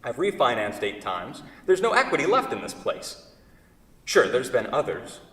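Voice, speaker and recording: A man's voice: mid-range voice, huge pitch swings, normal speed, a bit bright. Echoey distant hall, man playing anguished furniture maker, wordy, fretful, self-correcting, theatrical stage reading. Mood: anguished, pleading. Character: anguished furniture craftsman in love with his own creation. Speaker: actor in a staged play reading. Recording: echoey hall, far from the mic.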